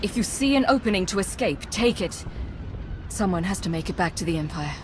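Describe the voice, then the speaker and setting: A woman's voice: lowish voice, expressive, a little fast, natural voice. A woman voicing a composed, commanding agent in a space opera video game, giving decisive, clipped orders over faint ship noise.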